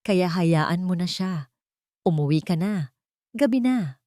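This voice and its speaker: A woman narrator plays a cold, controlling billionaire man in a romance audio drama. He speaks in curt commands, in a fairly low register with a dry, close sound. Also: animated, slow, warm tone, no room sound. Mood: firm, dismissive.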